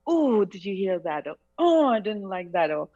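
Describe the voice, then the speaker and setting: A woman's voice: mid-range voice, very animated, normal speed, dark tone. A middle-aged woman from Chile with a Chilean Spanish accent, her first language being Spanish, talking reflectively in a casual interview and laughing often. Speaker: singer, interview guest. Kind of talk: casual conversation. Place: bright room at home. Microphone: video call. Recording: slightly dull video call audio.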